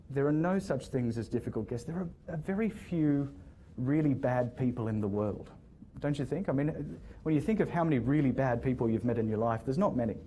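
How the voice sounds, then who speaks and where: Man, guest speaker in a lecture room, mellow tone, restarts sentences, light background noise. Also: mid-range voice. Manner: hesitant, repeats words, friendly storytelling. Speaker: guest speaker, hotel general manager. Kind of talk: casual talk answering audience questions.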